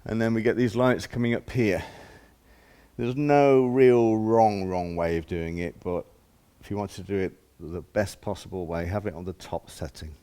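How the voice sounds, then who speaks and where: A man's voice: fairly low pitch, normal speed, dark tone, clean tone. A man giving an informal hands-on demonstration as a shop presenter, explaining step by step in plain, casual words.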